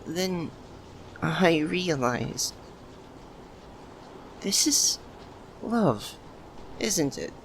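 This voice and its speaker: A man playing a shy, lovesick young university student, speaking hesitantly in an ASMR roleplay with stammers and pauses. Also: on the high side, heavily acted. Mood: confessional, wistful. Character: shy, lovesick young man, nervous childhood best friend. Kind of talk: ASMR roleplay, soft emotional monologue to the listener. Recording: noticeable steady background ambience noise.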